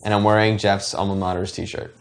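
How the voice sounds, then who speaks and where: A man's voice: lowish voice, level tone, a little fast. A man chatting casually as co-host of a commentary, opinionated and emphatic, often repeating himself. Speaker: show co-host.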